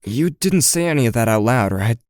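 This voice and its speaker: A man's voice: mid-range voice, animated, a little fast, darkish tone, dry recording, clean studio sound, natural voice. A man playing an anxious, skeptical teenage boy with psychic powers in an audio drama, with a hesitant, wry delivery. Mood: urgent. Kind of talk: audio drama dialogue and first-person narration.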